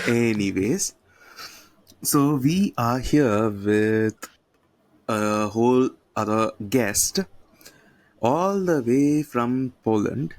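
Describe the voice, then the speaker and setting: A man's voice: mid-range voice, lively intonation, drawn-out words, long pauses, a little mellow, dry recording. A man hosting a podcast over a video call, playful and teasing, with lots of "you know". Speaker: podcast host.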